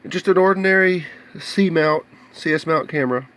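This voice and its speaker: An American man with a slightly higher-than-average voice gives an unscripted show-and-tell about electronics. He explains things plainly and casually, often halting as he works through the details. He is recorded indoors in a home workshop on a handheld camera. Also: fairly bright tone. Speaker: hobbyist video maker showing electronics.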